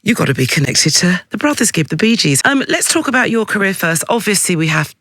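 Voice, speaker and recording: A man's voice: high-pitched, sing-song, quick pace, bright, forceful tone, dry recording. A man hosting a radio interview in a studio, speaking in a direct, effusive, emphatic way. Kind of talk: broadcast interview chat.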